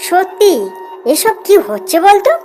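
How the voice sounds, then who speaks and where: Woman playing a high-voiced worried villager. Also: very animated, unhurried, over music, exaggerated voice. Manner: colloquial, anxious, questioning.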